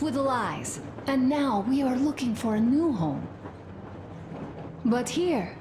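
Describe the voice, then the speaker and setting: An actress playing a tender young soldier's wife in a video game, confiding gently and sorrowfully. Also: female voice, mid-range voice. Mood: troubled. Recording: echoey room, noisy ambience.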